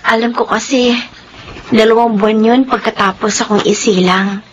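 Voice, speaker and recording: A woman's voice: a little high, steady tone, normal speed, highs cut off, slight background noise, lightly acted. A woman playing a sweet, playful, affectionate youngest daughter in a Filipino radio drama, speaking respectfully with a girlish manner. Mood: gentle, curious.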